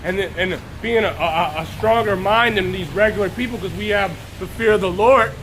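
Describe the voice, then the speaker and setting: A man preaching on a live stream with a hoarse voice that is recovering from illness. He clears his throat often and greets viewers by name between scripture readings. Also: high voice, moderate pace, a bit bright, a little hiss or hum, rough recording. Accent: Texas.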